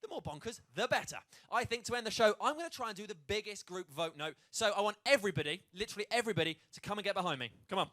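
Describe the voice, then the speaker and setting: A man with a high, bright voice hosts a live launch show indoors. He talks upbeat and chatty, rallying the crowd to gather round and join in. His tone stays sharp and forward. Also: lively intonation, racing, hardly any pauses. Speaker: host. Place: indoor event space with live audience.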